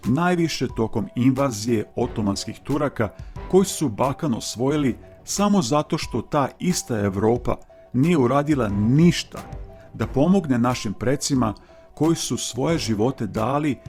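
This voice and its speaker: A man narrating his channel's video in an earnest, measured, formal voice-over. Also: medium pitch, a little mellow. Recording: faint music underneath.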